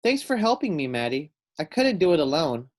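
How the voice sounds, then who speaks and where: A man voicing a caring, excitable young schoolgirl in a children's storybook read-aloud. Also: a little high, moderate pace. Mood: grateful.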